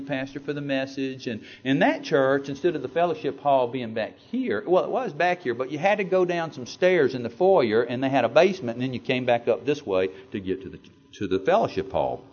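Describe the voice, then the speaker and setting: A man with a Southern US accent, a pastor telling a story in a sermon with animated, stammering asides. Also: medium pitch, slight background noise. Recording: dull lo-fi sound.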